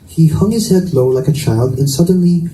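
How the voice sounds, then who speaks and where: A man's voice: fairly high pitch, normal speed, mellow. A man reading scripted narration from a romance novel aloud in a roomy indoor space. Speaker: reader. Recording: echoey room, distant mic, faint noise.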